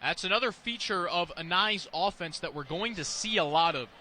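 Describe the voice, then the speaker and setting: A man's voice: high-pitched, moderate pace, pushed and bright. A man giving analytical color commentary on a live radio football broadcast, explaining plays and offering opinions, with stadium noise behind him.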